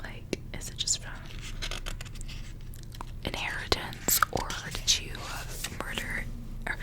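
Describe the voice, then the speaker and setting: A woman speaks entirely in a whisper, with no full voice behind her words. She is an ASMR host reading stories aloud in a hushed delivery, mixing the narration with casual, conversational asides. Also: slow pace. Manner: whispers throughout, unvoiced and hushed. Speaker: ASMR reader, host of the channel.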